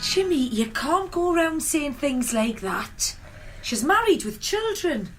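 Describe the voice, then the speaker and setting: A no-nonsense working-class Tyneside mother in a radio play. Her Geordie voice is high and very lively in pitch, scolding over background music. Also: measured pace. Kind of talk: radio drama acting.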